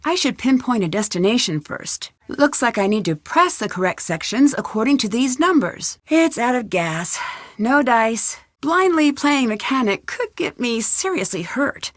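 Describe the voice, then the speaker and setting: A woman's voice: a little high, very animated, a little slow, dry recording, clean studio sound. A young woman voicing a curious, plucky amateur detective in an adventure video game, musing to herself inquisitively. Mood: matter-of-fact. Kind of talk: scripted adventure game voice acting, talking to herself.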